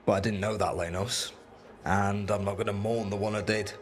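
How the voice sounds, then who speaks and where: A man's voice: fairly low pitch, steady tone, moderate pace, natural voice. A man playing a hard-bitten, pragmatic rebel fighter in a fantasy game, blunt and wry. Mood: grimly satisfied. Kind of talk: dramatic fantasy game acting. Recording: music under the voice.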